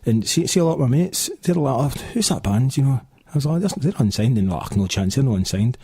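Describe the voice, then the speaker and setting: Fast-talking Scottish radio host. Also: male voice, medium pitch, lively intonation, mellow, breathy edge, dry recording, clean studio sound. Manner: fast, chatty, lots of fillers. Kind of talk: casual radio chat. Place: radio studio.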